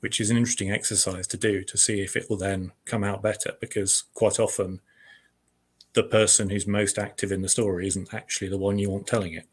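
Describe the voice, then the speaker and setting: A man's voice: fairly low pitch, level tone, brisk, slightly rough. A man speaking as an interviewed writer, chatting casually and thoughtfully, a little hesitant, with self-deprecating humour.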